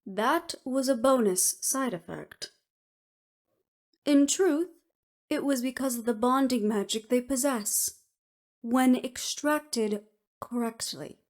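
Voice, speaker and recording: A woman's voice: high-pitched, slow pace, pauses often, darkish tone. A woman voicing a clever, unruffled imprisoned researcher in an audio roleplay, speaking precisely and with slight superiority. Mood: proud, explanatory. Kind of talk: scripted audio roleplay acting.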